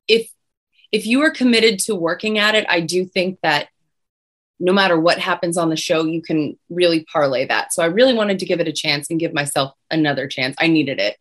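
A young woman singer with a low voice, chatting casually as an interview guest. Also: fairly bright tone. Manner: chatty, many restarts and fillers.

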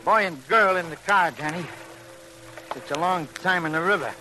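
A man's voice: on the high side, normal speed, music in the background, close to an everyday voice. An actor playing a talkative, deferential male police sidekick in mid-century radio crime drama, with colloquial, streetwise phrasing. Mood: subdued. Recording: noisy old radio drama recording.

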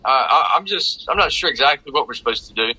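A man's voice: mid-range voice, expressive, fast, pushed and bright, breathy edge, rough recording. A man with a North Carolina Southern accent chatting casually about sports, rambling and repeating phrases for emphasis. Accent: North Carolina Southern American. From North Carolina, United States. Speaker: sports fan panelist.

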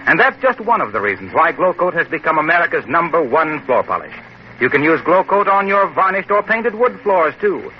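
A man's voice: fairly high pitch, big pitch swings, brisk. A male announcer reading an upbeat commercial and introduction in a 1940s radio show. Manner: upbeat, selling. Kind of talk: 1940s radio ad read and narration. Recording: dull, hissy old radio recording.

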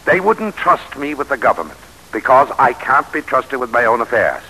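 A man's voice: medium pitch, very animated, normal speed, pushed and bright, husky, heavily acted, rough recording. A man acting in an old radio drama as a proud, embittered 19th-century American statesman, theatrical and oratorical. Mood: solemn, earnest. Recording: vintage radio drama recording.

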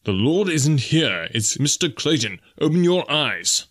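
A man voicing an urgent, commanding gentleman with insistent exclamations in an audiobook adventure. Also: fairly high pitch, very animated, measured pace, pitched above the performer's own voice, heavily acted. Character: urgent, commanding young gentleman searcher in the jungle.

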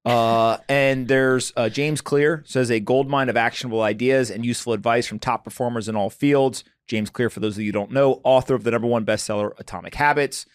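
A man's voice: medium pitch, steady tone, a little fast, hardly any pauses, smooth, no room sound. A man in his forties hosting a podcast, talking loosely with slang and jokes. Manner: loose, joking, informal slang. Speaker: podcast host. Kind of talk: casual conversational interview.

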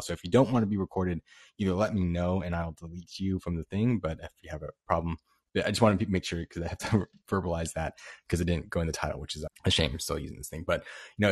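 A man hosting a casual audio chat room, talking quickly in a rambling, hedging way. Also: low-pitched, breathy edge, no echo, studio quality.

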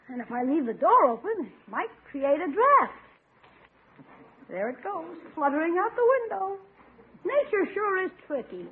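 An actor in an old-time radio comedy playing a scheming, anxious young schoolboy with a youthful, expressive voice. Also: sits high, a little hiss or hum. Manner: expressive, folksy exclamations. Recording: muffled vintage radio recording.

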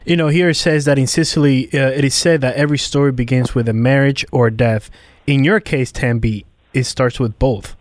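A man hosting a conversational interview, with halting, repetitive phrasing. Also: medium pitch, fairly even intonation, no room sound. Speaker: interview host.

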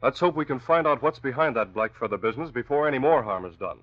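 An actor playing a calm, thoughtful newspaper boss with a measured, serious manner in vintage radio drama style. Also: male voice, mid-range voice, natural voice. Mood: grave, thoughtful. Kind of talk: 1940s radio acting. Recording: dull vintage radio recording.

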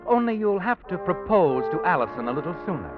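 A knowing, dramatic narrator from a classic radio thriller, needling a schemer in a sly, accusing way. His fairly high voice moves through wide swings of pitch. Loud brass music plays behind it on a dull vintage recording. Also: big pitch swings, fast talker. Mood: ominous. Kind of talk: old-time radio suspense narration.

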